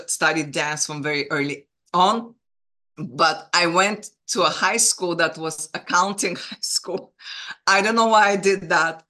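A woman's voice: low voice, pushed and bright. A woman from Bulgaria with a Bulgarian accent, a guest in an interview telling her career story earnestly and with enthusiasm, with small restarts. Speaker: guest, film producer. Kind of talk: interview conversation.

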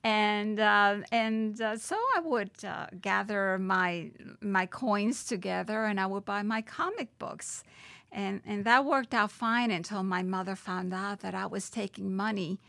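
An older woman from Puerto Rico talks about her life as a podcast guest, thoughtful and hesitant, often pausing and restarting. Her voice carries a gravelly fry. The recording is close and dry, with no room around it. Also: mid-range voice, fairly even intonation, fairly bright tone, no room sound. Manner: reflective, many hesitations and restarts. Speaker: judge, interview guest. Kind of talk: podcast interview.

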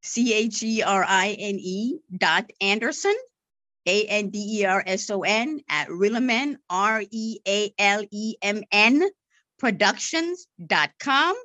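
A woman's voice: mid-range voice, expressive, measured pace, bright, forceful tone, no room sound. A woman guest who stutters, explaining things patiently with many repeated words and tag questions. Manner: stutters, repeats words, says "right?" often. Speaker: marketing and media relations director, podcast guest. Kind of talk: interview answers.

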